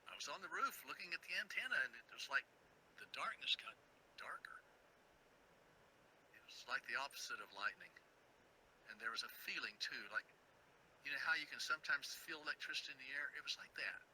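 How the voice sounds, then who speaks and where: A man's voice: medium pitch, pushed and bright, a little hiss or hum, rough recording. An actor playing a frazzled, fretful radio station producer in a video game, rambling as he talks. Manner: agitated, rambling, exasperated.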